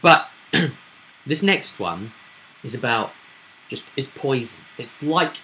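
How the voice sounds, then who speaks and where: Bright-voiced man recapping a fantasy game. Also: medium pitch, lively intonation, takes it slowly, low-quality recording. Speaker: game master recapping a tabletop campaign. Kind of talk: casual recap of a roleplaying game.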